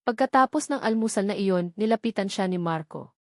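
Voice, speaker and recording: A woman's voice: medium pitch, animated, brisk, a little mellow, no room sound, studio quality. A woman reading a scripted dramatic love story as its narrator.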